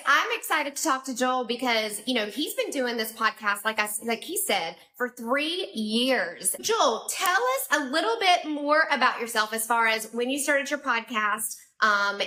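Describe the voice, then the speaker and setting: Bubbly Southern woman, bright voice. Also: fairly high pitch. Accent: Southern American. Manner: bubbly, upbeat, addresses the audience directly. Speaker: podcast host. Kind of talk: live-stream interview hosting.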